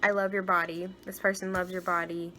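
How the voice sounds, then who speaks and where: A young woman with a naturally higher voice reads tarot cards in a relaxed, chatty way. She gushes over what she finds and drops to soft murmurs when she sets her intention. A faint hiss sits under the close recording. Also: brisk. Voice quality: higher-pitched voice. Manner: chatty and gushing, trails off with soft murmured asides. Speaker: tarot reader. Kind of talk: casual tarot reading.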